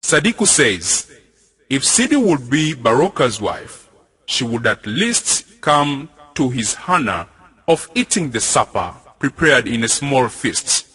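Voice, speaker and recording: Slow, animated young male narrator. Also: mid-range voice, slightly rough, low-quality recording. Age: young adult. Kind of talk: scripted drama narration. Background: music.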